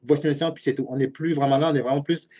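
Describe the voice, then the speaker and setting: A man's voice: fairly high pitch, steady tone, racing, a little mellow, breathy edge. Earnest Québécois man, late forties. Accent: Québécois French. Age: late 40s. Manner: earnest, explanatory, thinks aloud. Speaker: university program director and professor. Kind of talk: answering audience questions in a webinar. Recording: muffled lo-fi web call audio.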